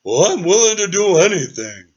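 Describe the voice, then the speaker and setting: A man voicing a timid, gentle male dragon who speaks meekly and hesitantly, on a dull lo-fi recording. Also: sits high, very animated, normal speed, husky, voice pushed up, heavily acted. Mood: unsure. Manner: meek and hesitant.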